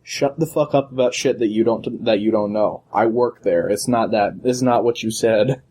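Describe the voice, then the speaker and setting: A young man with a deeper voice co-hosting a casual gaming podcast, teasing and joking with his partner. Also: moderate pace, dark tone. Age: young adult. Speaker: podcast co-host.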